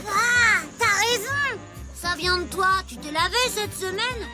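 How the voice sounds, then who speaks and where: Lively playful cartoon kid, super high voice, loud music under it. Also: female voice, measured pace. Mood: indignant. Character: lively, playful young schoolchild. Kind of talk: French children's cartoon dubbing.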